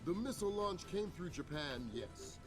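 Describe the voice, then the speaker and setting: An actor playing a measured intelligence chief who briefs the president in a game cutscene. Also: male voice, high-pitched, lively intonation. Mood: grave. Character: calm measured male intelligence chief, middle-aged. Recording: game soundtrack with clear music.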